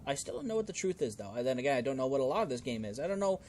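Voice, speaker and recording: A man playing through a role-playing game, reading its text aloud and adding casual, expressive commentary of his own. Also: mid-range voice, rapid-fire, a little hiss or hum. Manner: expressive, reacting out loud to the game. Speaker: gaming video host playing a role-playing game.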